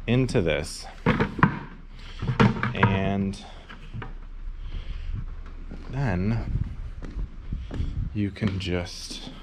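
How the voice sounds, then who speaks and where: A man's voice: low-pitched, very noisy. A man in his thirties casually explaining how to start a worm farm, rambling with lots of ums, filmed close in a large barn. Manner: relaxed, rambling, many "um"s. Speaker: worm farmer. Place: large insulated barn or workshop, indoors. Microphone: camera held low, close.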